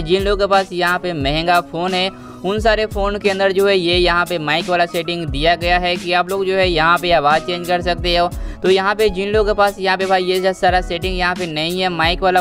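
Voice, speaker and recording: A young man speaks in a distinctly high voice. He talks like a gaming channel host walking viewers through a tutorial, casual and repetitive, often calling them brother. Soft music plays underneath. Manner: repetitive, addresses listeners as brother. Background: background music.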